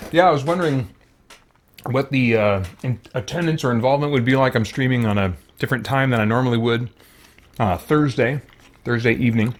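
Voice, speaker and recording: A man hosting a game livestream in casual chat, rambling and thinking aloud as he goes. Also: mid-range voice. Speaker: live streamer hosting a game playthrough.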